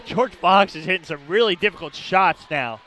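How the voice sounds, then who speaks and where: A man's voice: high-pitched, expressive, normal speed, bright, forceful tone, dry recording. A man giving basketball color commentary, analysing plays in a conversational way with fillers.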